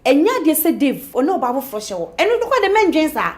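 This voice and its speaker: Seorang wanita yang memerankan sahabat muda yang menghibur dan menenangkan temannya. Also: female voice, a little high, big pitch swings, normal speed, a little mellow, reverberant. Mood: urging. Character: comforting young female friend.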